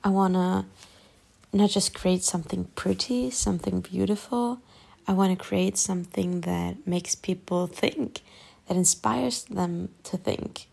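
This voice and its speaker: A young woman with a German accent, German her first language, musing softly and partly whispering while lying in bed at night for her vlog. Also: on the low side, measured pace, vocal fry, husky. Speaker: YouTuber. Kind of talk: late-night personal vlog.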